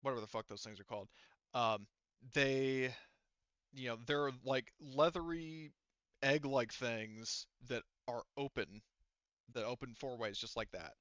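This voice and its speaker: A man narrating a tabletop game as game master, casually and haltingly, with informal, sweary phrasing. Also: mid-range voice, a bit bright, clear, resonant tone, dry recording, dull audio.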